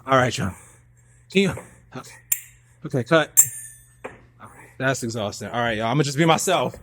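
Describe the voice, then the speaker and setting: Young American male theater artist, bright punchy voice, loose and expressive, casual direct-to-audience talk. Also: medium pitch, normal speed, a little hiss or hum. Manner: slangy, expressive, talks to the audience. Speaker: theater artist and guest speaker. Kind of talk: casual, freewheeling monologue.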